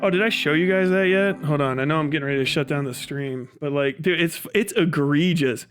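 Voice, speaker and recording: A man hosts a livestream in casual, reactive chat. His voice sits a little high for a man, and his talk is halting, slangy and full of swearing. Faint music is present. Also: fairly bright tone. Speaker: livestream host.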